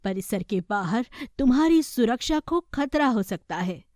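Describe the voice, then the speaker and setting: Woman as a motherly, unhurried fairy queen. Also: fairly high pitch, animated, a little mellow, no echo, studio quality. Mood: caring warning. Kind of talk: children's cartoon story.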